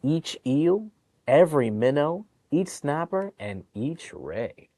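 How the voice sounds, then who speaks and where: A man's voice: on the high side, slow, no echo. A man reading a picture book aloud to young children, with chatty, encouraging asides about the pictures. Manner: animated, encouraging, explains pictures to young listeners. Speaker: children's storytime reader at a public library.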